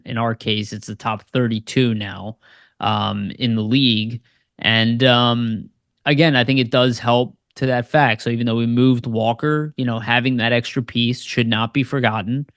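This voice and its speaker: A man's voice: medium pitch, a bit bright, no echo, clean studio sound. An American man co-hosting a sports podcast, talking in a loose, rambling way with lots of fillers. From United States. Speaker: podcast co-host. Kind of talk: casual sports podcast chat.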